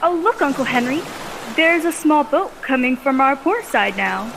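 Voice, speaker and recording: A woman as a high-voiced, curious young niece. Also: expressive, normal speed, vocal fry, a bit bright, a little hiss or hum, exaggerated voice. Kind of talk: old-time radio drama acting.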